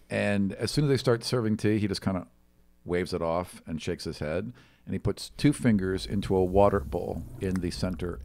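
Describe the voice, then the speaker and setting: Low-voiced man running a tabletop game. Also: a little mellow, dry recording. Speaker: game master. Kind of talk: improvised narration of a tabletop game.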